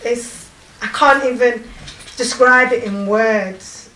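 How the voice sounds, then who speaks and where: A woman in her forties with a strong Yorkshire accent, telling of her volunteer work in a halting, emotional way with many pauses and fillers. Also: a little high, bright, forceful tone, echoey room, far from the mic, slight background noise, low-quality recording. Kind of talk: personal testimony.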